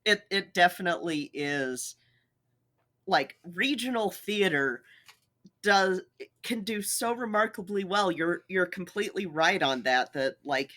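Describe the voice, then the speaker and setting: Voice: medium pitch, animated, unhurried, sharp tone. A podcast co-host in their thirties, chatting casually and agreeing with emphasis. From Utah, United States. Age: 30s.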